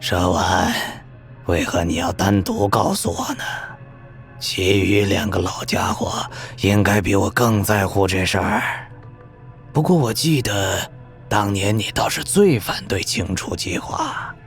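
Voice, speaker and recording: An actor playing a wry, shrewd old judge in an animated drama, needling and unhurried. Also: male voice, sits low. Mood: probing. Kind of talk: animated audio drama acting. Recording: background music under the voice.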